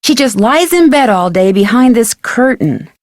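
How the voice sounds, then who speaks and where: Young female detective, video game lead, slightly high, courteous, earnest, persistent, dry recording. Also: sing-song, normal speed, no echo. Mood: concerned, doubtful. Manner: earnest, polite, casual questioning. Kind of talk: scripted video game voice acting.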